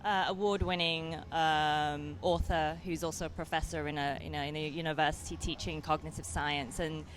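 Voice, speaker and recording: A woman's voice: sits low, fairly even intonation, moderate pace, hardly any pauses. A young adult woman from England with an English accent, answering interview questions as a film director, hesitant with many fillers and restarts.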